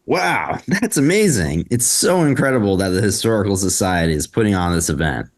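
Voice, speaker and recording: A man's voice: on the low side, sing-song, brisk. A man acting a supportive, affectionate husband in a scripted table read. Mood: warm admiration.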